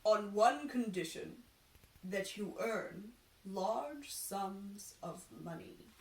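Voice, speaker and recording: A woman voicing a sly old male fortune teller, making grand, drawn-out pronouncements in a storybook reading. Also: medium pitch, animated, a little mellow, a little hiss or hum. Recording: roomy echo, distant microphone.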